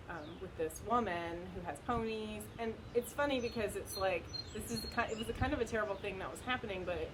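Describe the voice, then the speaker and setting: A woman casually recommending books, conversational and loosely phrased with small hedges, recorded outdoors. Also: mid-range voice. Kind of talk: casual book recommendation chat. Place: outdoors in the wind. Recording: loud wind noise on outdoor home video.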